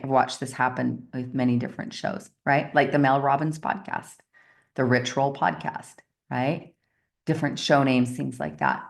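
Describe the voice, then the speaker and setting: Very low, gravelly-voiced woman coach. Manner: chatty storytelling, often checks in with the audience with "right?". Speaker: success coach. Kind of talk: motivational coaching talk told as a personal story.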